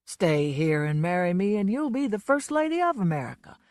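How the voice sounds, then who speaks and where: Woman doing a drunken braggart, loud, slurred, swaggering, swooping pitch, dry close sound. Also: sing-song, moderate pace, no echo, lightly acted. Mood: maudlin boasting. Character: drunken blustering man, a boastful folksy entertainer.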